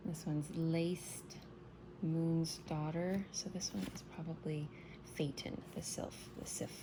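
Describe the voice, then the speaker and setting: Low-voiced woman, scratchy morning voice. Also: steady tone, slow. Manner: chatty, meandering, soft-spoken. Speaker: tarot reader. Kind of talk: casual morning tarot reading.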